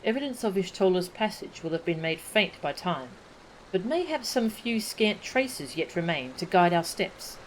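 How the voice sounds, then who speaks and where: A woman's voice: fairly low pitch, very animated, normal speed, a little hiss or hum, rough recording. A woman reading out a solemn, scholarly man's game lines in formal, old-fashioned speech. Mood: grave. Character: solemn scholarly man speaking archaic English. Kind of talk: reading game dialogue aloud.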